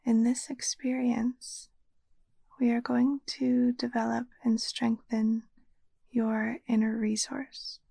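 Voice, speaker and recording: A woman guiding a meditation as a yoga nidra teacher, speaking in a soft, soothing, breathy near-whisper. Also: on the high side, flat delivery, takes it slowly, dry recording. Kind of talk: guided meditation narration.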